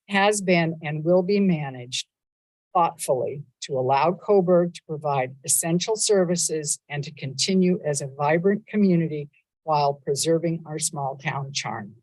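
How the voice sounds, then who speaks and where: A woman with a lowish, loud voice gives a prepared address in a council chamber. She moves through her points in a measured, upbeat way and leans on each item in a list. The sound is dry and close on the microphone. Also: no room sound, low-quality recording. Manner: measured, upbeat, stresses lists of items. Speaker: mayor giving a state of the city address. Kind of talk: prepared civic speech. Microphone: council meeting microphone.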